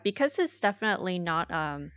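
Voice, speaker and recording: A woman's voice: lowish voice, very animated, fairly quick, a bit bright, clean tone. A woman hosting a podcast interview, chatty and friendly, with frequent fillers and laughs. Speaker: podcast host. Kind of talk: casual interview chat. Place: Toronto.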